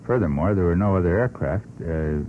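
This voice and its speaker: A man's voice: low-pitched, animated, fairly quick. A man answering as an interview guest, explaining his reasoning in an unscripted, methodical and emphatic way. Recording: tinny, noisy vintage radio recording.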